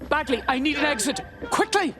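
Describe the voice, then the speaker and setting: An actress playing a nervous, chatty rookie resistance operative in a video game. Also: female voice, sits high, animated, fairly quick. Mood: panicked. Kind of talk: video game cutscene acting. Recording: clear vehicle and ambience noise.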